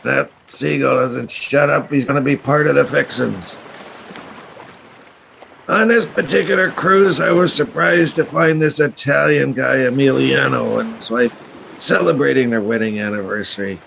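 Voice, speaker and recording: A man playing a gravelly, folksy seafaring narrator in a radio play, telling his tale. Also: mid-range voice, normal speed, a bit bright, slight background noise, low-quality recording. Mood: wry, storytelling. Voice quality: gravelly, creaky.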